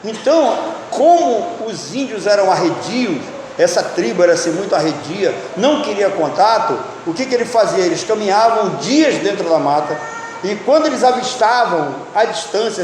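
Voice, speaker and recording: A high-pitched man preaches in a teaching style, turning to the congregation with questions. His voice rings through a big hall with a long reverb tail, and a faint hiss sits underneath. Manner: teaching, asks the listeners questions. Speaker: pastor and Bible teacher. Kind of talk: sermon.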